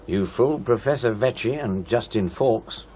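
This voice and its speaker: An actor playing a sober, sympathetic investigator, probing in a reserved way, in a radio drama. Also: male voice, on the low side, normal speed, warm tone, close to an everyday voice. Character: sober, sympathetic male investigator asking questions. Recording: noisy radio play scene with ambience.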